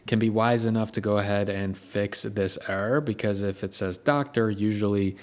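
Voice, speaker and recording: A man hosting a casual software tutorial, explaining each step in a conversational way. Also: lowish voice, fairly even intonation, moderate pace. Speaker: tutorial host.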